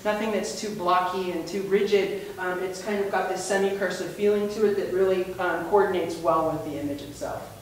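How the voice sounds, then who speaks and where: A man in his thirties, a museum education coordinator, explaining in a relaxed, fluent way with frequent ums. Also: high-pitched, brisk, few pauses. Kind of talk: casual gallery presentation. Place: indoor museum room. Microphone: far camera mic. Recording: echoey room, mic far off, faint hiss.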